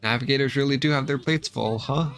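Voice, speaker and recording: A man's voice: medium pitch, brisk, fairly bright tone, soft background music, natural voice. A man voicing a perky, pushy young female adventurer from a space video game, eager and emphatic. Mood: eager, chatty.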